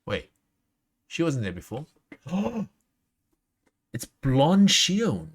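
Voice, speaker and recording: A man livestreaming a video game, reading dialogue aloud haltingly and dropping in his own reactions. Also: fairly high pitch, wildly swooping pitch. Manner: reads on-screen text aloud haltingly, interjects reactions. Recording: clearly noisy mic with clicks.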